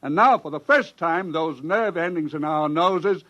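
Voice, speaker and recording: An actor playing a male research scientist who explains things in a brisk, matter-of-fact way, in 1950s radio drama style. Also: on the high side, pushed and bright. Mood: explaining. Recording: dull 1950s radio broadcast.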